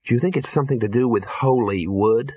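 A haughty, bitter young woman, as a male narrator plays her in an audiobook. The delivery is clipped and disdainful, slightly husky, on faintly dull audio. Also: mid-range voice, a little fast, dark tone, dry recording, close to an everyday voice. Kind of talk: audiobook character voice.

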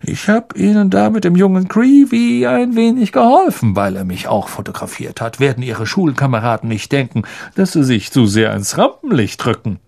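A vain, theatrical teacher who booms and talks down to everyone, as a male narrator plays him. His voice sits fairly high and swoops with self-satisfied flourish. It is a character voice in an audiobook. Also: sing-song, normal speed, vocal fry, hardly any pauses, darkish tone, full character voice. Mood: patronizing. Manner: patronizing, booming, theatrical. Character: vain, pompous grown man teacher.

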